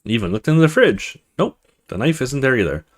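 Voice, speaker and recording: A man's voice: mid-range voice, big pitch swings, brisk. A man hosting a let's play, reading a horror visual novel aloud in a dramatic storytelling way with casual asides.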